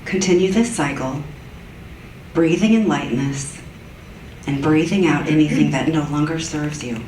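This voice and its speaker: A woman leading a guided meditation in a soft, gentle, soothing way. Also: low-pitched, measured pace, slight background noise. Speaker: meditation leader. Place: church sanctuary. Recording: echoey church room from far off.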